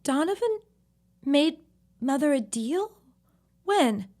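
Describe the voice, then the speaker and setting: Audio drama young wife female actor: defiant, indignant, slightly high register, stands her ground. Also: big pitch swings, slow pace, a little mellow, heavily acted. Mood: startled disbelief.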